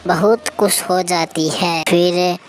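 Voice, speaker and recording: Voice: medium pitch, fairly even intonation, slow. A narrator reading a scripted children's story as a storyteller. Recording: music behind the voice.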